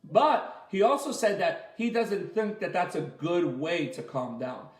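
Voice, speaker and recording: High-voiced man coaching kids, echoey room. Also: sing-song. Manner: encouraging, praising, explains slowly and simply with repetition. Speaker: martial arts coach leading children's story time. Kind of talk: interactive children's lesson, chatty.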